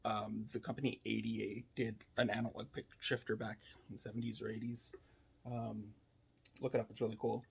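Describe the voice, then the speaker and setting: Husky, creaky-voiced man explaining casually. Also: mid-range voice. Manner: laid-back, hesitant, thinking aloud. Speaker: electronics builder demonstrating a device. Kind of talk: casual unscripted technical demo.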